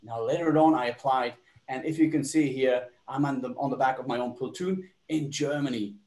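A man's voice: medium pitch, fairly even intonation, normal speed, darkish tone, reverberant. A man, speaking at a webinar over a video call and telling his story with small hesitations. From Belgium. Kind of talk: webinar talk. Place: home office.

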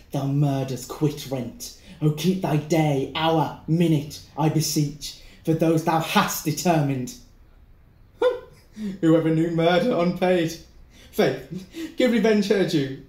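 An actor playing a bitter, gleeful avenger in a Jacobean audio drama, declaiming theatrically. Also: male voice, a little high, animated, a little mellow. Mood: gleeful, menacing. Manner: theatrical, deliberate, verse declaiming. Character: bitter, gleeful avenger brooding over a poisoned lover's skull. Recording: echoing, reverberant room.

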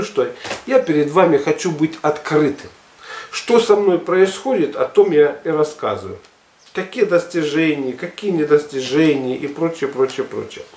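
A man in his sixties talking casually to camera in a halting, emphatic, colloquial way. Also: a little high, darkish tone. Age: 60s. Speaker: health and fitness vlogger. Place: furnished living room at home, indoors. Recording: echoey room, camera at a distance.

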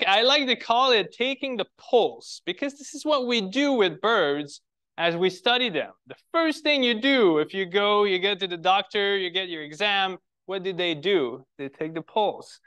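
French canadian guy in his 40s giving a talk, kinda high clear voice, friendly q&a vibe. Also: fairly bright tone. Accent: Quebec French. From Quebec. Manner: friendly, rhetorical questions, restarts phrases. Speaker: biologist giving a public talk. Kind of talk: conference lecture to a birding club. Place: lecture room.

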